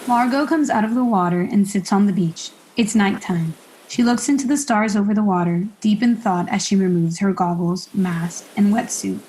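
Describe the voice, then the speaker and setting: Casting: young woman, average pitch, scripted stage-direction narrator for radio drama. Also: normal speed, dark tone, rough recording. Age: young adult.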